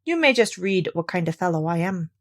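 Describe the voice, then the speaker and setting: A woman's voice: fairly low pitch, very animated, fairly quick. A cocky little fairy-tale tailor, read by a woman. He is boastful and breezy, a clever small man who brushes off every challenge. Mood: smug.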